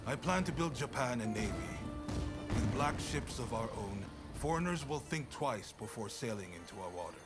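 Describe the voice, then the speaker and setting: Game cutscene: male actor as easygoing, clever samurai official, mid-pitched, wry and teasing, music loud underneath. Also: expressive, moderate pace, natural voice. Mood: confident, persuasive. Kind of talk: video game cutscene acting.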